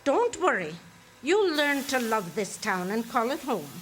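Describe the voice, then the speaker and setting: An actress plays a firm, motherly nun in a radio drama. Her voice is high and swoops widely as she admonishes with old-fashioned kindness. The recording is clearly noisy, with ambient sound behind her. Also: very animated, a little slow, a bit bright. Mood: firm, admonishing. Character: stern but kindly nun escorting orphans by train. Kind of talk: radio play acting.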